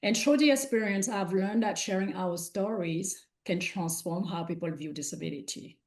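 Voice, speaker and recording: Woman from Cameroon, French native, mid-pitched, earnest conference speaker with fillers. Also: moderate pace. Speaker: guest speaker. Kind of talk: conference panel talk.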